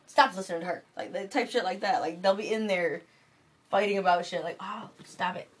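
A woman's voice: fairly low pitch, a little fast, breathy edge. A young woman from Michigan in casual late-night chat, rambling and swearing with lots of fillers. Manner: rambling, profane, filler-heavy. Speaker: vlogger. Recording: music playing behind.

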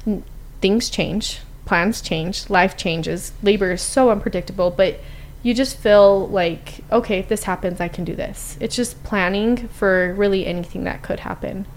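A woman's voice: medium pitch, unhurried, a little hiss or hum. A woman, a birth doula, explaining her work in an easygoing, casual chat.

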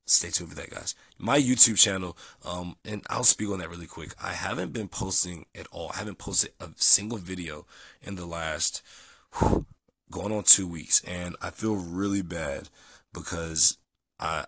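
A young man with a very low, husky voice that sounds nasal and dried out from a cold. He rambles along casually with plenty of ums, bright and forward in tone. The sound is close and dry. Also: moderate pace, no room sound. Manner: relaxed, rambling with many ums. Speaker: vlogger. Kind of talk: casual audio log.

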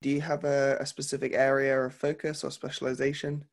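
A man's voice: mid-range voice, monotone, a little fast, creaky. A man hosting an interview podcast, asking casual questions with some fillers. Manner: scripted intro, then casual questions with fillers. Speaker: podcast host.

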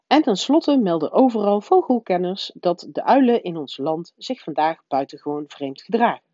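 Woman as male newsreader, storybook character, wry, measured announcing, mellow timbre, dry dull sound. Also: high-pitched, animated, no echo. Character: wry male TV newsreader delivering odd bulletin.

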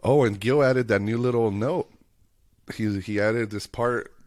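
A man talking as a musician guest in casual podcast chat, halting and rambling, with a stuffy nose from allergies. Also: fairly low pitch, dry recording. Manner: rambling, halting restarts, self-joking.

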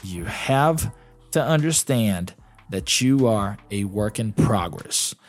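A man's voice: on the low side, animated, slow pace. Brazilian man coaching casually, faint music. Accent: Brazilian Portuguese. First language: Portuguese. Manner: coaching, conversational, many fillers like "you know". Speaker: podcast host and English teacher. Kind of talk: casual solo podcast talk.

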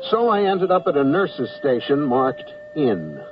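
An actor playing a wry, polite army draftee in a radio comedy, speaking in a dry, understated, deferential way. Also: male voice, fairly high pitch, huge pitch swings, measured pace, music in the background. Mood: wry narration. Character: wry, polite male army draftee narrating his misadventures. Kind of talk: radio comedy acting and scripted narration. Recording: muffled, noisy, distant 1970s radio recording.

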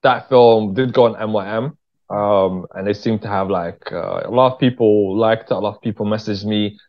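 A man's voice: on the low side, normal speed. Dull-sounding British man chatting casually. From England. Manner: informal, slangy, candid. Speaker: interview guest, writer-director. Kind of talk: casual conversational storytelling. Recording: dull, lo-fi voice recording.

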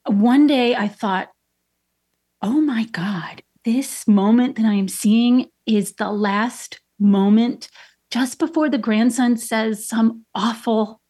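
A woman from Chicago, a guest artist, telling a story in an interview with many pauses and restarts. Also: on the high side, mellow, breathy edge. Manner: thoughtful, lots of ums and restarts.

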